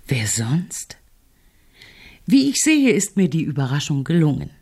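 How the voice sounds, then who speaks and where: An imperious, wealthy middle-aged aunt in a radio play. Her voice sits low. She speaks with pointed, mocking condescension, shrewd and fully in command. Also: big pitch swings, measured pace, darkish tone, breathy edge, full character voice. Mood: smugly composed. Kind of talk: German radio drama acting.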